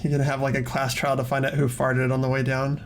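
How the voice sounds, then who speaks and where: A man's voice: mid-range voice, monotone, fast, slight background noise. A man narrating a video game playthrough, reading dramatically and chatting casually.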